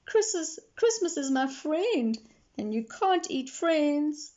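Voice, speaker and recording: A woman puts on a young storybook girl, earnest and tender-hearted. The voice is lifted high and sounds plaintive. The recording is slightly muffled. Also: sing-song, slow, darkish tone, heavily acted. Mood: pleading, tearful. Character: young girl, tender-hearted, pleading for her pet chicken. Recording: dull, lo-fi sound.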